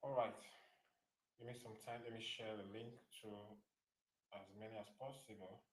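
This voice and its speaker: A man's voice: fairly low pitch, fairly even intonation, normal speed, roomy sound, far from the mic, rough recording. Nigerian man hosting a live stream. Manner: greets chat viewers by name. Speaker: livestream host and teacher. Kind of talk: casual live-stream greetings.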